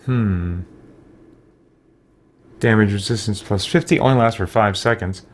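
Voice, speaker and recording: A man's voice: lowish voice, a little slow. A man hosting a roleplay gaming video, casually thinking aloud with theatrical exclamations. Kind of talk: casual roleplay game commentary. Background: faint game music.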